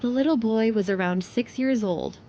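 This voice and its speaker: Mellow female audio drama narrator. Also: a little high, moderate pace, highs cut off, slight background noise.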